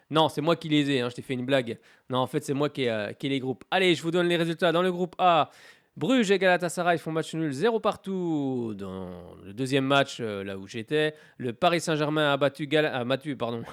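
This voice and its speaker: A man's voice: fairly high pitch, clear, resonant tone, no echo, studio quality. A man with a head cold hosting a casual radio sports show, talking hesitantly with many filler sounds and small jokes. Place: radio studio.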